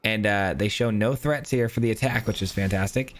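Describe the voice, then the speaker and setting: A man giving casual, upbeat commentary while playing a card game, thinking aloud, his voice hoarse as he loses it. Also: lowish voice, brisk. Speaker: gaming channel host. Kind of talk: casual live gameplay commentary.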